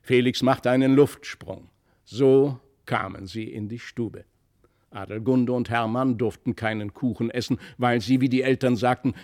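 A man narrating a literary fairy tale from a script, with expressive, deliberate storytelling. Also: medium pitch. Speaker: audiobook narrator.

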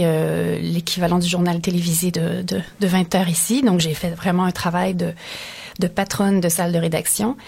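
A middle-aged woman with a Canadian French accent, speaking as a guest on a radio interview. Her voice is husky, set at an ordinary middle pitch. She thinks aloud, pausing on fillers and restarting her sentences. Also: a little mellow. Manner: thoughtful, many hesitations and restarts. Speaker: radio station director, guest.

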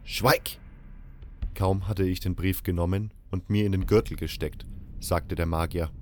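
A man's voice: sits low, steady tone, moderate pace, warm tone, slight background noise. A man narrating a story in the first person, in a dramatic style.

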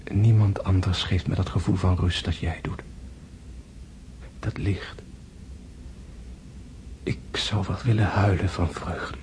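An actor playing a gravely wounded prince in a radio drama, tender and philosophical, speaking in hushed, halting, weakened tones. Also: male voice, deep voice, fairly even intonation, a little hiss or hum. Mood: tender, yearning. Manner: hushed, halting, intense delivery, often whispering. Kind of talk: Dutch radio drama acting.

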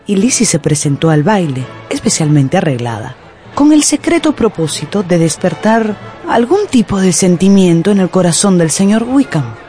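A woman's voice: on the low side, lively intonation, moderate pace, mellow, faint music behind. A woman narrating a radio drama as a scripted storyteller.

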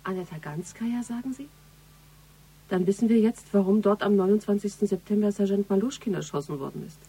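An actress playing a composed police investigator in a radio crime drama, measured, matter-of-fact and probing. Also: female voice, medium pitch, mellow, husky, lightly acted.

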